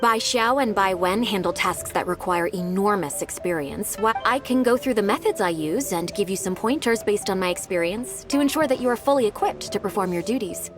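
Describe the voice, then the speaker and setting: A woman voicing an earnest young secretary in a game runs through duties in a brisk, businesslike way. She is a little flustered, and her pitch bounces lively as she talks. Game music plays clearly behind her. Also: natural voice. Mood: dutiful. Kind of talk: game voice acting.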